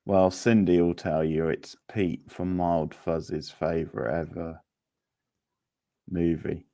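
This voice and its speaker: A man with a low voice hosts a quiz in a casual, conversational style. He takes his time, speaking slowly and leaving space between phrases. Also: steady tone, dark tone. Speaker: quiz host. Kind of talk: casual live quiz hosting.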